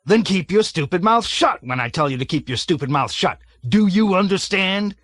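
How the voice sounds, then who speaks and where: A man's voice: mid-range voice, big pitch swings, measured pace, fairly bright tone, no room sound. A man voicing a roaring bullying army colonel. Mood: bellowing, bullying. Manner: roaring, hectoring, sarcastic. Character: bloated blustering male army colonel, bullying. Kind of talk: audiobook character voice.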